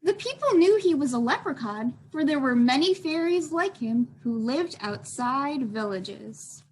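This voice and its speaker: Woman children's storytime reader, high voice, very lively intonation, expressive and child-directed, faint background noise. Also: unhurried, poor audio. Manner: addresses young listeners with questions and expressive delivery. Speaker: children's librarian reading a picture book aloud. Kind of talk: children's storytime read-aloud.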